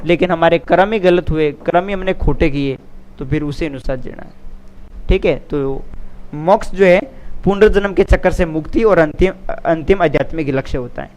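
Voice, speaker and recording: A man's voice: fairly high pitch, moderate pace, a little mellow, poor audio. A man gives an exam lesson as an online coach. He alternates reading out answers with informal explanation. His manner is didactic, with repeated phrases and frequent checks on understanding.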